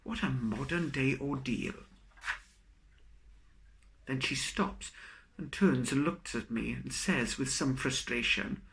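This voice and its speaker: A man reciting his own comic poem in a theatrical, expressive storytelling manner. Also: mid-range voice, takes it slowly, vocal fry, long pauses, poor audio. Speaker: poet reading own work. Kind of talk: dramatic comic poetry recital.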